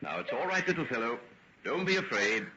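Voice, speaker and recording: A courteous gentleman doctor acted by a man speaks with lively, swinging intonation and a measured, reassuring manner. He is a guarded figure from golden age radio drama, heard through a muffled recording with faint noise. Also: mid-range voice, lightly acted. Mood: soothing. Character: genteel male doctor, courteous, soothing, guardedly secretive. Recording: dull, noisy vintage radio recording.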